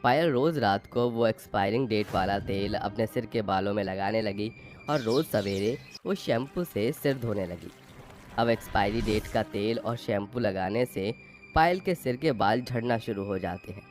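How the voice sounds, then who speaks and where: A man's voice: lowish voice, moderate pace, a little mellow. A man narrating a scripted moral tale as its storyteller. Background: faint music.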